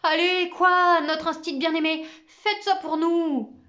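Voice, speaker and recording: Woman doing a high-pitched excitable schoolboy, urgent and pleading, storybook reading, muffled sound. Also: unhurried. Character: excitable schoolboy, bespectacled class ringleader. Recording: slightly muffled recording.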